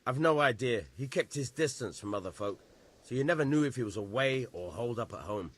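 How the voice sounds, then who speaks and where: An actor playing a gruff, weary village bailiff in a video game, blunt and grudging in how he talks. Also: mid-range voice, expressive, brisk, close to an everyday voice. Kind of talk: video game cutscene acting.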